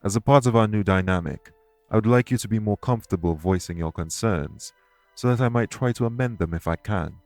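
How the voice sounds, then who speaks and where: A man playing a courteous former king turned fae official in an audio roleplay, speaking formally and with measured reassurance. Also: fairly low pitch, a little mellow, faint music behind, lightly acted. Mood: gently concerned. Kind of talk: audio roleplay spoken to the listener.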